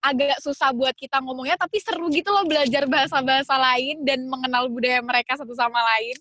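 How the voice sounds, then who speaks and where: A young woman from Java co-hosting a campus podcast, chatting casually and quickly agreeing with the others. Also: high-pitched, fairly even intonation, bright, forceful tone, slight background noise. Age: young adult. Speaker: podcast co-host. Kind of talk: casual chat.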